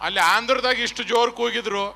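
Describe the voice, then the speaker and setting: A man's voice: very high voice, fairly even intonation, fast talker, creaky, bright, forceful tone. A man with a North Karnataka accent giving a rousing speech from a stage, addressing fans directly and leading them in chants. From Karnataka, India. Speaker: guest speaker at a film promotion event. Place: stage at a public event.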